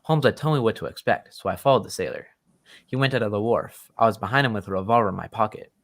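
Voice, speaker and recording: Man acting courteous, earnest gentleman sidekick, radio drama. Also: fairly low pitch, fast. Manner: courteous, formal, old-fashioned phrasing.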